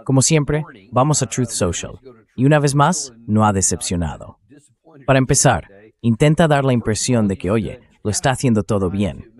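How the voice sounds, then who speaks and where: A man's voice: mid-range voice, expressive, normal speed, darkish tone. A man hosting a political commentary show in a dubbed translation read by a synthetic voice, often posing incredulous rhetorical questions.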